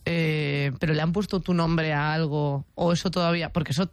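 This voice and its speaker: A woman's voice: sits low, steady tone, fairly quick, clean tone, no room sound. A woman with an accent from Spain hosting a casual, informal interview, feeling her way through her phrasing. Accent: Spanish from Spain. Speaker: talk show host.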